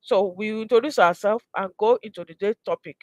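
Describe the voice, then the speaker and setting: A woman's voice: mid-range voice, fairly quick, a little mellow, no room sound. A woman with a Nigerian accent hosting a promotional live session, earnest and exhortatory, repeating phrases for emphasis. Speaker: host, mentor. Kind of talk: promotional live pitch to viewers.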